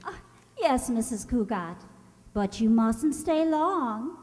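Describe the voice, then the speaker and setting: A woman's voice: on the high side, expressive, slow pace, a little mellow. A woman playing a composed, dry-witted nurse in a comic radio play, with a coy manner. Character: composed, dry-witted young nurse minding her patient. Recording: reverberant hall stage recording.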